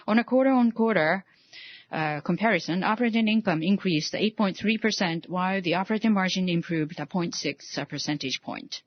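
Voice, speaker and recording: A woman giving a formal financial results presentation, speaking haltingly with frequent hesitations. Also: fairly low pitch. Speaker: conference call presenter. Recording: dull, roomy conference call audio.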